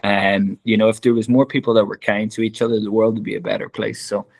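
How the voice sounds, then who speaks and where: A man's voice: fairly low pitch, level tone, fast talker, creaky. A man from Northern Ireland with a Northern Irish accent, a golf director talking earnestly and heartfelt as a podcast guest. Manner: earnest, heartfelt, repeats "very much like". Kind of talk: casual conversational interview.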